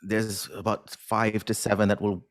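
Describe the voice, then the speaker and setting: A man's voice: fairly low pitch, flat delivery, a little slow. A man answering as an interview guest in a casual, unassuming, self-deprecating way, with hesitant phrasing.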